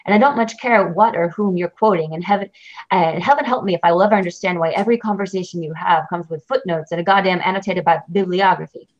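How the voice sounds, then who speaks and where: A woman's voice: lowish voice, fast talker, lightly acted. A woman voicing a husband with a temper, answering in flustered, blustering retorts. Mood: angry. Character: man with a temper, bickering husband. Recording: dull lo-fi recording.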